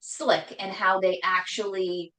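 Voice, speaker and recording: A woman hosting a casual live cooking show from her home kitchen, talking loudly and emphatically with a voice that carries. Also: mid-range voice, little pitch movement, takes it slowly, reverberant, rough recording. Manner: loud voice that carries, chatty and emphatic. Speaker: host cooking on a live stream.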